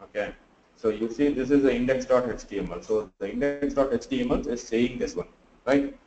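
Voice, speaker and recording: A tired, sleepy man teaching a live online class, talking through his screen in a halting way full of fillers. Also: mid-range voice, warm tone, echoey room, highs cut off, slight background noise. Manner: halting, thinks aloud with many fillers. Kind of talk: live screen-share tutorial.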